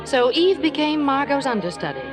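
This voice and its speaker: A woman's voice: high voice, big pitch swings, normal speed, loud music behind the voice. An actress playing a kindly, confident married woman with a reassuring, genteel manner in a vintage radio drama. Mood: narrating. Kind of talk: 1940s-50s radio acting and narration.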